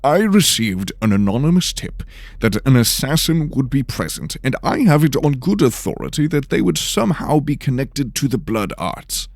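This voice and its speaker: A man's voice: mid-range voice, sing-song, moderate pace, gravelly, no room sound, voice pushed up. A man voicing a pompous, fussy older nobleman in a fantasy audio drama, speaking formally. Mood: self-important.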